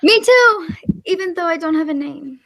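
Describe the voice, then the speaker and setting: A woman plays a slick hepcat bandleader on old-time radio. The voice is high and showy. The patter carries a pointed, aggrieved edge. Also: animated, moderate pace, gravelly, sharp tone, exaggerated voice. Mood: announcing. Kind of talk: 1940s radio broadcast acting.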